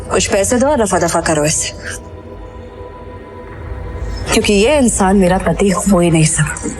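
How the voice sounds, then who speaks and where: A woman's voice: a little high, lightly acted. An actress playing a haughty young rich businesswoman in a Hindi audio drama, cutting and contemptuous, mixing in English. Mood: mocking disdain.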